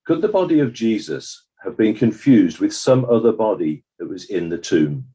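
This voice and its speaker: Guy as court examiner, formal and probing, lowish mellow voice with lively intonation. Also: slightly rough. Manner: formal, brisk, authoritative. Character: formal probing inquest examiner questioning witnesses. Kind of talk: dramatized courtroom questioning.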